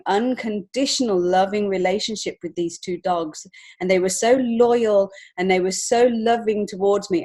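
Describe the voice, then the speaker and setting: A woman's voice: mid-range voice, darkish tone. A woman with an English accent talking reflectively and earnestly as a guest in a conversational interview. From England. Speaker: podcast guest, children's author and illustrator.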